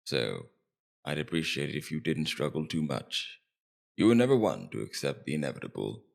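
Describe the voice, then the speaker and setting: A man playing a suave, menacing demon king in an ASMR roleplay, coaxing and condescending in a slow, deliberate, domineering way. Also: rumbling low, vocal fry, heavily acted. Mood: calm menace. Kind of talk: ASMR roleplay character acting.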